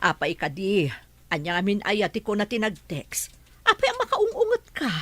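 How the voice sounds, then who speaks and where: A caring, anxious mother from an audio drama, voiced by a woman. Her voice sits in a middle range and swings widely in pitch as she talks. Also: wildly swooping pitch, normal speed, fairly bright tone, no room sound.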